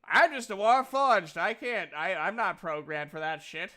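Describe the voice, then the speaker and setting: The loud-mouthed robot at a fantasy guild's counter, high and piercingly bright, blurting oaths at adventurers, acted by a man at a game table. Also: animated, normal speed. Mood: concerned. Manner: exclamatory, swearing. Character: excitable, blunt, foul-mouthed male robot guild member. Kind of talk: tabletop roleplay character voice.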